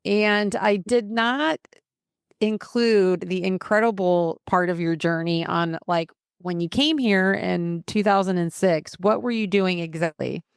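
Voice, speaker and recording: A woman's voice: on the low side, a little slow, gravelly, clean tone, no room sound, clean studio sound. A young adult woman hosting a casual interview podcast, upbeat and chatty, reading an intro before talking freely. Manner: upbeat, reads scripted intros. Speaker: podcast host.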